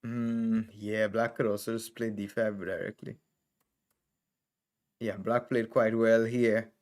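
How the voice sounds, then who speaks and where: Chess master with a Trinidadian accent, a man with a lowish voice, thinking aloud through moves in short bursts. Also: level tone, takes it slowly, darkish tone. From Trinidad and Tobago. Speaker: chess master streaming game reviews. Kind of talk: live commentary on chess games.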